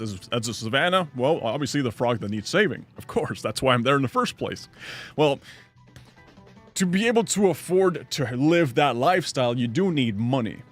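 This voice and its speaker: A young adult man streaming from home, rambling casually through chat questions and answering his viewers. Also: medium pitch. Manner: rambling, chatty, reads chat aloud and answers.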